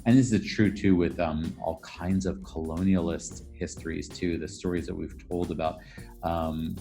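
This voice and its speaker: Male history professor in interview: low register, articulate, reflective, explanatory. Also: steady tone, normal speed, dark tone, faint music behind. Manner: articulate, reflective, rephrases as he goes. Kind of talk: thoughtful explanatory interview answers.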